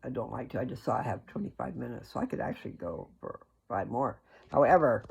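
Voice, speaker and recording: A person with a husky, gravelly voice giving a rambling, informal religious talk as a video host, in a folksy, earnest way that wanders off on asides. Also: medium pitch, sing-song, a little fast, darkish tone.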